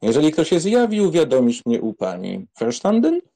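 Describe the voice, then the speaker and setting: An actor in a dramatized audiobook playing a haughty young aristocrat, curtly giving orders to a servant. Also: male voice, on the high side, wildly swooping pitch, normal speed, dark tone, heavily acted. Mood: brisk, commanding. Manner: commanding, curt.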